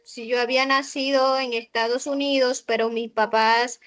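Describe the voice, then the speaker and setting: A young Colombian woman student answering on a video call, halting with frequent fillers and restarts. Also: on the high side, level tone, a bit bright. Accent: Colombian Spanish. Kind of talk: hesitant class answer.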